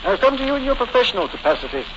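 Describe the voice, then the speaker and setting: Noisy old radio drama, anxious English aristocrat, high-pitched, lively intonation, polite, hesitant. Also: male voice, racing. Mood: earnest, anxious. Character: fussy, wealthy English lord, earnest and anxious. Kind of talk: 1940s radio drama acting. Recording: noisy, dull old 1940s radio recording.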